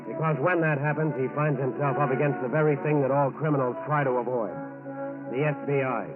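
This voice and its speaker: A man's voice: mid-range voice, steady tone, a little fast. A man narrating a scripted 1940s radio crime show in a grave, emphatic manner. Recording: narrow-band old radio, loud music. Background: loud brass orchestral music.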